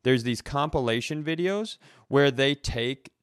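A man's voice: medium pitch, unhurried, clean tone, dry recording, clean studio sound. A man co-hosting a casual comedy podcast, rambling and emphatic, with some swearing. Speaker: podcast co-host.

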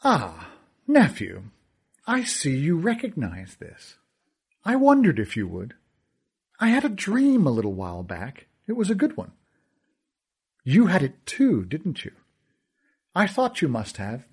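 A man's voice: high voice, huge pitch swings, a little slow, pauses often, darkish tone, slightly rough, pitched above the performer's own voice, heavily acted. A man voicing a cruel, theatrical villain who gloats and taunts in a sneering, sing-song way. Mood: sly.

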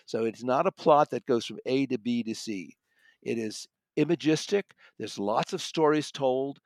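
A man's voice: medium pitch, normal speed, no room sound. A man speaking as an audiobook reviewer in a conversational, thoughtful and emphatic way, often correcting himself as he goes. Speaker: audiobook reviewer and magazine contributor.

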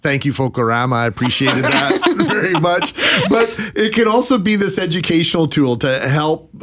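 A man's voice: on the high side, sing-song, normal speed, a bit bright. A man in his forties from Manitoba, hosting a casual morning radio chat, jokey and chatty with laughs. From Manitoba, Canada. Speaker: radio morning show host.

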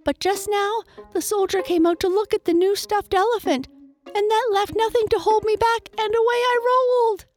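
Very high, squeaky little toy mouse. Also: female voice, steady tone, moderate pace, a little mellow, faint music behind. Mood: nervous, chattering. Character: tiny timid female toy mouse, anxious and squeaky. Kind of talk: children's storybook character voice.

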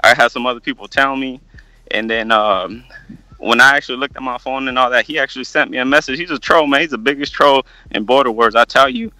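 A man's voice: medium pitch, fast, bright, forceful tone, no echo, poor audio. A man calling in as a fighter, telling a rambling story loosely with many fillers. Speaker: caller, fighter. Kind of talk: casual call-in chat.

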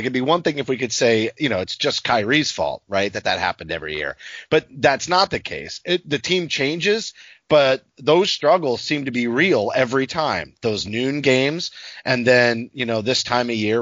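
A man's voice: medium pitch, a bit bright, dry recording, muffled recording. A man hosting a podcast, chatting casually about sports. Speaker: podcast host.

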